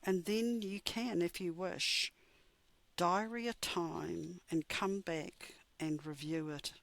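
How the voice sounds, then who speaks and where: A woman leading a scripted guided meditation in a soothing, measured, instructive way. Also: fairly low pitch, steady tone, creaky, dry recording.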